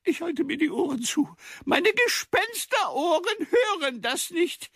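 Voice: sits high, sing-song, unhurried, vocal fry, fairly bright tone, no room sound, studio quality, heavily acted. An actor playing a whiny, stubborn, childish ghost in a children's audio drama, with theatrical sing-song delivery. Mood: defiant, whiny.

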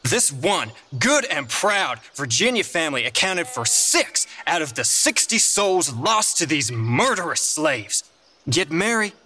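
A man's voice: fairly high pitch, sharp tone, exaggerated voice. An actor playing an outraged, melodramatic 1830s Virginia newsman in a dramatized report. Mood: indignant, accusing. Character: indignant 1830s male news reporter in Virginia. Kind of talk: dramatized news report and interview.

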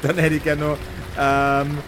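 A man's voice: on the high side, monotone, a little slow, music in the background. A man narrating an improvised fantasy tabletop game as its game master.

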